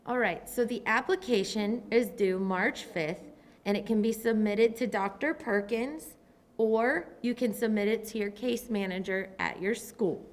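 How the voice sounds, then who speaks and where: A woman teacher closing with a brisk, friendly announcement about applying to the program. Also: mid-range voice.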